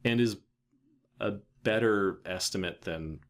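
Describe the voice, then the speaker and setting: Low-voiced man walking through a software tutorial. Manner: casual, thinking aloud with trailing pauses. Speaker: software developer presenting a tutorial. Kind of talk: informal software tutorial narration.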